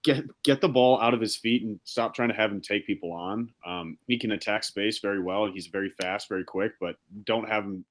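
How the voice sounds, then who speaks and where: A man's voice: fairly low pitch, brisk, few pauses. A young man co-hosting a casual sports chat, blunt and opinionated, swearing now and then. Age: young adult. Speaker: podcast co-host.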